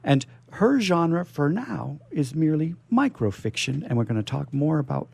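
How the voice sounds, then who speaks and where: Animated, gravelly man hosting radio. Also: a little high, moderate pace. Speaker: radio show host. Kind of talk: casual live radio hosting.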